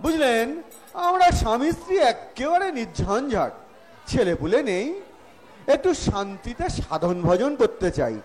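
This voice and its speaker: Man acting a very high-pitched, pious old husband. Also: very animated, darkish tone, soft background music. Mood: unctuous, humble. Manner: sanctimonious, drawn-out devotional phrases. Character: meek, miserly, pious elderly Vaishnav husband. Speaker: amateur actor, schoolteacher. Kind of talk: staged comic Bengali audio drama.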